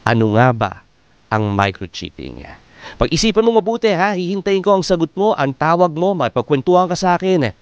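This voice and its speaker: A man's voice: a little high, very animated, moderate pace, clean tone, dry recording, muffled recording. A Filipino man hosting a radio advice show, talking conversationally and coaxingly straight to his listeners. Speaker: radio host of late-night relationship advice show. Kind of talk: conversational live radio talk in Tagalog-English.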